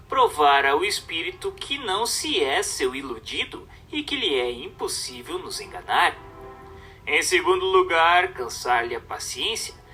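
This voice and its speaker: Expressive, bright-voiced man narrating. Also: fairly high pitch, recorded from a distance. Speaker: narrator reading a book aloud. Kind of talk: scripted narration of a spiritist text.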